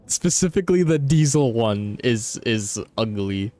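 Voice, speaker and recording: Man, mid-pitched voice, game streamer, blunt slangy banter, heavy swearing, music in the background. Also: expressive, a little slow, a little mellow. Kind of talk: casual profane live gameplay commentary and chat banter.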